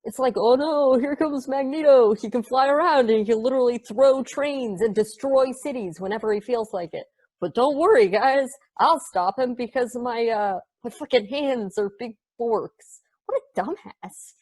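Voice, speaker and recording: Woman reading as a scornful comic book nerd, ranting casually, highish voice. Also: normal speed, a little mellow, natural voice. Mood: mocking. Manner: mocking, colloquial ranting. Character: scornful, foul-mouthed comic-book nitpicker. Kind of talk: amateur play table read.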